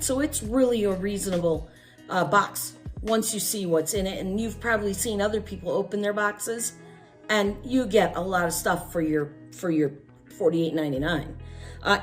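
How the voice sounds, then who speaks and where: A middle-aged American woman chatting casually as she hosts an unboxing vlog, her voice affected by a chest cold. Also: mid-range voice. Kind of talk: casual product review chat. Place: small room at home, at a table. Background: music.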